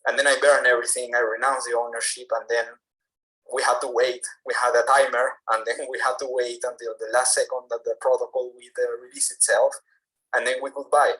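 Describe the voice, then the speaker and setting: Soft-spoken man from Peru, explaining. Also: mid-range voice, little pitch movement, rough recording. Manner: measured, slightly halting, explanatory. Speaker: guest, project founder. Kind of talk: casual interview. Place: home in France, late at night.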